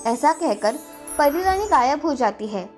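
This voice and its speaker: A woman's voice: high-pitched, animated, a little slow, a little mellow. A woman storyteller giving scripted narration of a children's fairy tale. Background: faint background music.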